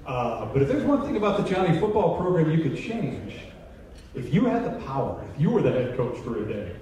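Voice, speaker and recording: A man's voice: a little high, very animated, fairly quick, mellow. A middle-aged man hosting a casual live interview on stage with a handheld microphone. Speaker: interviewer, radio play-by-play announcer. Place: indoor stage in an auditorium. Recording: echoey hall PA, distant, audience behind.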